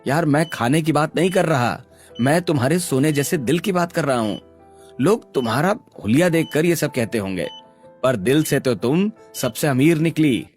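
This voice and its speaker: A caring young tea seller from a cartoon drama, played by a man. He is earnest, slightly high in pitch and very expressive in intonation. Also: moderate pace, soft background music, lightly acted. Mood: tender. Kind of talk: cartoon story voice acting.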